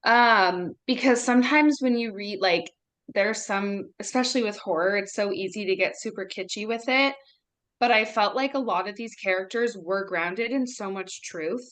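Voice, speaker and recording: A woman with a mid-pitched voice chats on a video call. She is enthusiastic and conversational, giving her view in a discussion among actors. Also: normal speed, fairly bright tone. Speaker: actor in a table read discussion. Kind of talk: casual group discussion.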